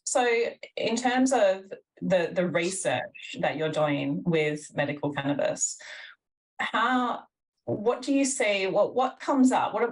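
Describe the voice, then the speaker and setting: Low, croaky woman host, a bit distant. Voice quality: slightly croaky. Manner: enthusiastic, affirming, lots of "yeah" and "mm". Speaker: podcast host. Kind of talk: interview conversation.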